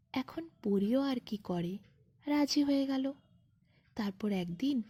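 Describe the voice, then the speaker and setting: Slow, high-voiced, husky woman storyteller. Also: warm tone, dry recording. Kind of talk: scripted bedtime fairy-tale narration.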